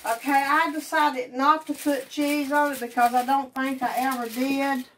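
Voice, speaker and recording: A woman's voice: high-pitched, flat delivery, moderate pace, a bit bright, slight background noise. A woman in her sixties with an Appalachian accent, hosting a casual cooking vlog in her home kitchen, folksy and teasing. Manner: folksy, teasing, laughs often. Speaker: home cooking show host.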